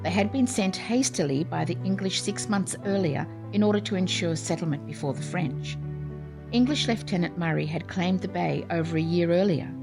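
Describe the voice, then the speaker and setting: A woman giving clear, even, scripted narration for a series of historical radio plays. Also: lowish voice, normal speed. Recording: music playing behind. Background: music.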